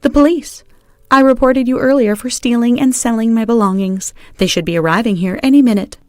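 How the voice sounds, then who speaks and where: A woman's voice: a little high, a little fast, a little mellow, no echo, studio quality, voice pushed up, close to an everyday voice. A woman voicing a firm, unforgiving wronged wife in a narrated story, stern and decisive.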